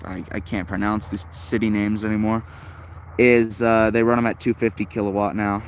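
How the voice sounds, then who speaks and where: A man's voice: fairly low pitch, level tone, moderate pace, a little hiss or hum. A man giving casual, unscripted hobbyist commentary as a livestream host, rambling and thinking aloud. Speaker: shortwave radio livestream host.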